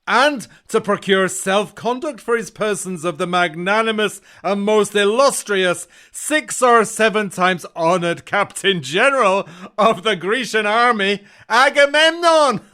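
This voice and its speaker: High-voiced, haughty warrior lord. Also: male voice, pushed and bright, full character voice. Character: haughty, amused Greek warrior lord, languidly grand. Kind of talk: Shakespearean audio drama acting.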